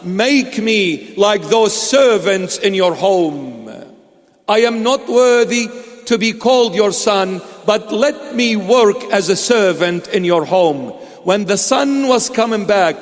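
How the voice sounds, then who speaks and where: Slow, high-voiced preacher in a reverberant hall. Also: male voice, lively intonation. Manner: emphatic, repetitive rhetorical questions, playful asides. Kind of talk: sermon. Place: church hall. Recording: long reverb of a large hall.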